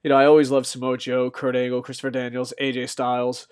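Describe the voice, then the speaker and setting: A young man with a slightly squeaky voice, rambling through off-the-cuff wrestling news commentary with lots of fillers and restarts. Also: monotone, fast talker, clear, resonant tone. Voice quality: a bit squeaky.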